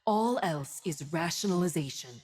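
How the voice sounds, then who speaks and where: A woman's voice: fairly low pitch, animated, normal speed, a little mellow, soft background music. A woman voicing a stern, righteous divine winged judge for a fantasy video game, speaking in solemn, grand declarations with a subtle ethereal effect.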